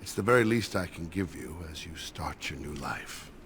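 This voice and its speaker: An actor playing a gentle, worried older elf father in a video game, speaking in an earnest, cautioning way. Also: low-pitched, lively intonation, normal speed, slight background noise. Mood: solemn, tender.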